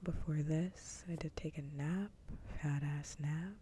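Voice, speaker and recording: A woman hosting an ASMR livestream, chatting casually in a soft, breathy whisper close to the mic, with unhurried, sweary rambling. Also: low voice, fairly even intonation, a little hiss or hum. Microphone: close ASMR microphone.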